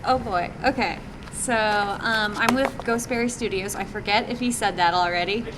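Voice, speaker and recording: A woman's voice: mid-range voice, normal speed. A woman giving an informal slide presentation in a chatty, hesitant, slightly self-conscious way. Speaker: presenter from a game development studio. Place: indoor meeting room. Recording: noisy live meetup recording, background hum.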